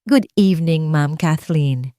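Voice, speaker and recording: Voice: mid-range voice, takes it slowly, smooth, dry recording, studio quality, natural voice. A woman doing a cold, emotionless bank caller. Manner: cold, flat, formal.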